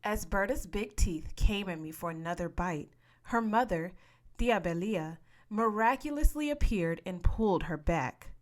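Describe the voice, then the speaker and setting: A woman reading a children's story aloud in expressive scripted narration. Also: medium pitch, unhurried. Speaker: story reader.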